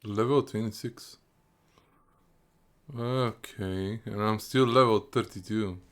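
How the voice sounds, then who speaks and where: A man's voice: on the low side, slow, fairly bright tone, smooth. A man giving casual, offhand commentary while streaming a video game. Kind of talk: casual live playthrough commentary. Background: faint game music.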